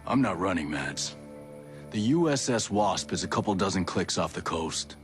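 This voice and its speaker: Very low male voice, determined elite soldier, composed, game cutscene, soft music behind. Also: lively intonation, moderate pace. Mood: resolute. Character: steady, determined male special forces soldier hero. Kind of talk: video game cutscene acting.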